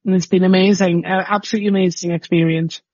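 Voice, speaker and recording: A man's voice: high voice, little pitch movement, fast talker, a little mellow, no room sound, dull audio. A man with a Geordie accent, a hypnotherapist guest chatting emphatically in a podcast interview over a remote call. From northeast England.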